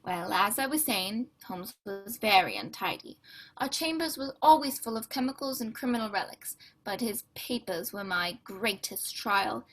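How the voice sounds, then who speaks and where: A woman's voice: medium pitch, animated, moderate pace, a bit bright, rough recording. A woman playing a fussy, tidy Victorian male doctor who narrates and scolds his untidy friend in a radio play. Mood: genial storytelling. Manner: prim and fussy, exasperated scolding. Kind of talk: amateur radio play acting.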